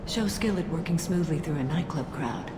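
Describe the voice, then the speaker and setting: An actress voicing an ancient, honorable alien warrior woman in a video game, speaking in a formal, measured, grave manner. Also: deep voice, lively intonation. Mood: solemn instruction. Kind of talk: video game dialogue acting. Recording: echoey hall, noisy ambience behind.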